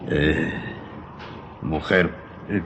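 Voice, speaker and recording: An actor playing an uneasy, henpecked innkeeper in a radio drama, gruff and reproachful. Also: male voice, a bass voice, steady tone, extremely slow, fairly bright tone, full character voice. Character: uneasy, conflicted male innkeeper, henpecked husband. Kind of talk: Spanish-language radio drama acting. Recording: dull lo-fi audio, faint noise.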